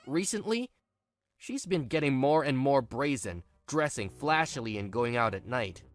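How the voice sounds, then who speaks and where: A woman's voice: very deep voice, expressive, normal speed, faint music behind. A woman voicing a smug, irritable young husband in a manga drama, dismissive, whiny and self-righteous. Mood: fake troubled.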